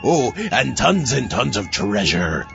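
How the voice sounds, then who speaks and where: A man playing a drunken, scheming, excitable pirate captain in a comic audio drama, gravelly and theatrical. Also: on the low side, slow, muffled recording, soft background music, heavily acted. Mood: amused. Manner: theatrical, swooping, pirate-talk phrasing.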